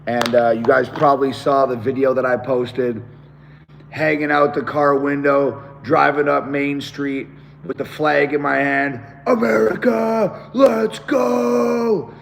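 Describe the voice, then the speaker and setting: A man with a hoarse, worn-out voice, chatting excitedly to viewers on a live stream. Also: fairly high pitch, slow pace, long reverb tail, a little hiss or hum. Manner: excited, talks straight to viewers. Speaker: musician hosting a live stream. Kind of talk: casual live-stream chat.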